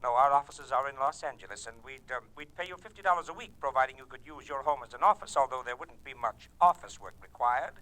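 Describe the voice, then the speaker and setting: Brisk, bright-voiced smooth businessman. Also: mid-range voice, expressive, low-quality recording. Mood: businesslike, evasive. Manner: brisk, glib, with calculated hesitations. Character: smooth, charming, evasive businessman on the telephone. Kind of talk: 1940s radio drama acting.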